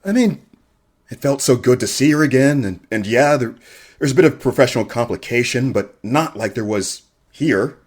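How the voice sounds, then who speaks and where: A man plays a modest, earnest radio host who is quietly smitten, in a radio comedy. The voice is husky, with lively intonation. He speaks in a hesitant, halting, understated way. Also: medium pitch. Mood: smitten, hopeful. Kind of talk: radio comedy-drama acting.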